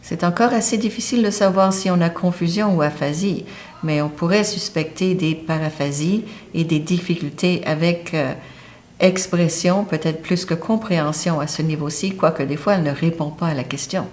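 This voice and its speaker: A woman's voice: fairly low pitch, normal speed, darkish tone, a little hiss or hum. A woman lecturing on a medical topic, explaining step by step and pointing to a diagram. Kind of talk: teaching lecture.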